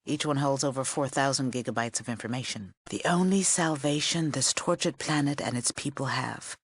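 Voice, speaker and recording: A woman's voice: rumbling low, normal speed, breathy edge, no room sound, full character voice. A middle-aged woman playing a stern, learned scribe in a video game, with a formal, aloof and curt manner. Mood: solemn. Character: stern, learned female scribe of a post-war order, aloof. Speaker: game character. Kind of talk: video game character dialogue.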